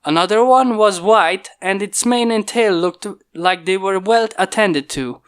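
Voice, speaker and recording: A hobbyist reader narrating a fan-fiction chapter in a steady storytelling voice, recorded on a failing home microphone that pops. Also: medium pitch, a little slow, smooth. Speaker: fanfiction reader. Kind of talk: amateur audiobook narration.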